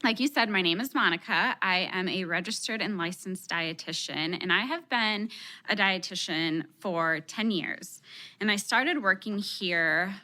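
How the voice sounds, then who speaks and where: A woman's voice: medium pitch, a little slow, sharp tone. A woman in her thirties from Texas, raised speaking Spanish first, chatting enthusiastically as a dietitian guest on a radio talk show.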